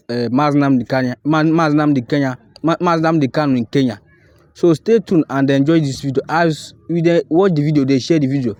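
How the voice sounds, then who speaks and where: A man's voice: a little high, a little mellow, no echo. A man with a Nigerian accent who slips into Pidgin, earnestly introducing a video on his channel and urging viewers to subscribe and share. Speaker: YouTube channel host.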